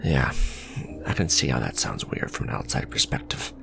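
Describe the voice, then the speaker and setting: A man playing a smug, suave villain businessman in a romantic ASMR audio drama, sardonic and conversational, teasing, with a low gravelly voice. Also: lively intonation, normal speed, music in the background, heavily acted. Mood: smug, matter-of-fact. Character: smug male villain, suave luxury fashion businessman on a date.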